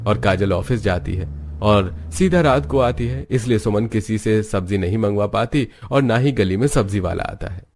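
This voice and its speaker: A man's voice: on the low side, normal speed, darkish tone. A man narrating a scripted moral story, with noise in the recording.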